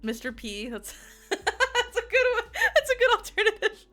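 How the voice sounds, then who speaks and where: A young woman giving a casual, excitable game review, giggling often and rambling with fillers and restarts. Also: extremely high pitch, moderate pace.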